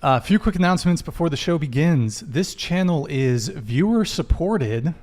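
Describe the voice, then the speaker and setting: A man's voice: on the high side, expressive, moderate pace, a little mellow. Joking young man hosting a live show. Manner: loose, joking, stumbles over tech mishaps. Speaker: podcast host. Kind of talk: live stream announcements, casual.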